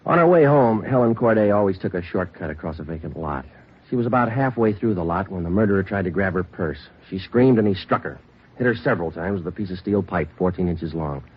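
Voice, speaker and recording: An actor playing a terse, relentless police sergeant in an old radio procedural, matter-of-fact and deadpan. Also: male voice, low voice, brisk, breathy edge. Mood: cool, matter-of-fact. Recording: muffled old radio broadcast.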